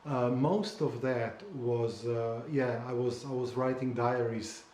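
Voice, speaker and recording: A man whose first language is Slovene talks about writing his memoir, pausing often and searching for precise words. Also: mid-range voice, fairly even intonation, a little mellow, roomy sound, slight background noise. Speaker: memoir author. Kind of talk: conversational interview.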